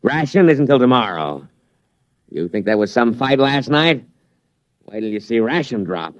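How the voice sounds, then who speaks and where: An actor playing a paranoid, violent camp inmate gone half feral, in old-time radio style. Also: male voice, medium pitch, sing-song, moderate pace, no room sound, close to an everyday voice. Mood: menacing, wary. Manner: suspicious, threatening, erupting into manic laughter. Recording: muffled old radio recording.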